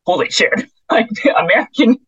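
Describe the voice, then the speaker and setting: A woman sports reporter in relaxed interview chat, quick and talkative, doubling back on her words with easy laughs. Her voice comes through a muffled, lo-fi remote line. The sound is dry, with no room around it. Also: a little high, slightly rough, dry recording. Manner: chatty, quick, with frequent restarts and laughs. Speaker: tennis and basketball beat reporter.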